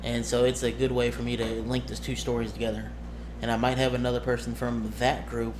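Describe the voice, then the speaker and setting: A man talking casually to his viewers as a streamer and game master, halting and rambling as he corrects himself. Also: mid-range voice, level tone, noisy background.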